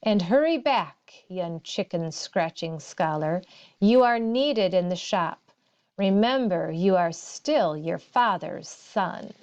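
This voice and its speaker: Woman reading a humble, slow-spoken shopkeeper father. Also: lowish voice, lively intonation, a little mellow, highs cut off. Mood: firm. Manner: humble, formal. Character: humble shopkeeper father, deferential yet firm.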